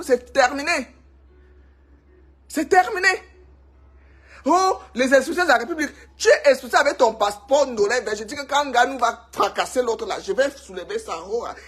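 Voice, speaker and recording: A woman's voice: high-pitched, a bit bright, husky. A woman from Cameroon with a Cameroonian French accent, talking to her viewers in a casual, emphatic gossip monologue and repeating herself for effect. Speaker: vlogger.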